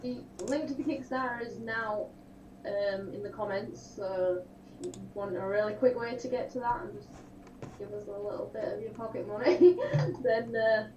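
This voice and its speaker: A woman's voice: mid-range voice, moderate pace, a little mellow, distant mic. A woman co-hosting an online event in a casual, joking way, recorded roomy and off the mic. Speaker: co-host of an online live event. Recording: roomy, off-mic, light background noise.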